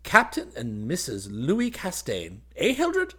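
A man's voice: medium pitch, huge pitch swings, normal speed, husky, voice pushed up. A man voicing a boyish, happy young army officer in a story, with eager, exclamatory chatter. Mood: eager, puzzled. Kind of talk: dramatised audiobook reading.

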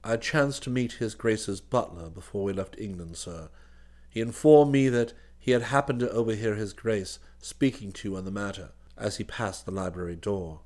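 A man's voice: on the low side, moderate pace, darkish tone, pitched below the performer's own voice, lightly acted. A man voicing a deferential, all-knowing male valet who speaks formally and unflappably. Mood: composed. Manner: formal, unflappable, says sir.

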